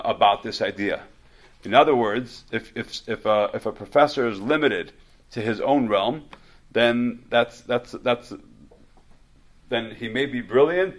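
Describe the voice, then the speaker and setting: A man teaching a religious study class in an informal way, often repeating words and restarting his sentences as he explains. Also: mid-range voice. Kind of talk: informal religious lecture with questions.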